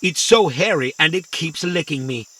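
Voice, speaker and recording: A chatty, pedantic little robot from a children's audio adventure. The voice is high and bright, swinging widely in pitch. It takes every word literally and gets excited easily. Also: male voice, moderate pace. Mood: chatty, eager. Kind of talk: children's audio drama acting.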